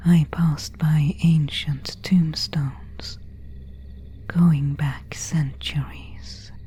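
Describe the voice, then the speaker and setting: Slow whispering seductive vampire woman, acted by a woman. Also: sits low, warm tone, a little hiss or hum. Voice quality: breathy, unvoiced whisper. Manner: slow, formal, archaic, intimate phrasing. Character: pale, elegant vampire woman of an ancient family, seductive. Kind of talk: whispered ASMR roleplay.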